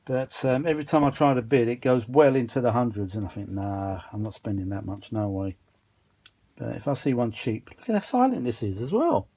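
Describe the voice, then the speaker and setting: A man's voice: mid-range voice, lively intonation, a little fast, mellow, slightly rough. Man chatting enthusiastically at his workbench. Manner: enthusiastic, rambling, informal. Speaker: vintage audio hobbyist presenter. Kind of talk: casual unscripted chat while demonstrating a machine. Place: indoors at a cluttered workbench.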